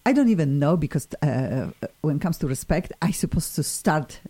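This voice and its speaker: A woman's voice: deep voice, lively intonation, moderate pace, mellow, slightly rough. A woman with a Polish accent hosting a casual talk show in a chatty, effusive, digressive way. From Poland. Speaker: radio show host. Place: radio studio.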